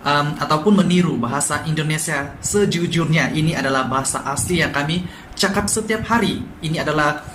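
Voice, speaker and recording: A man from Sabah with a Sabah Malay accent, explaining language differences in a quick, lively vlog style and mixing in English words. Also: on the high side. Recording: echoey room with faint noise.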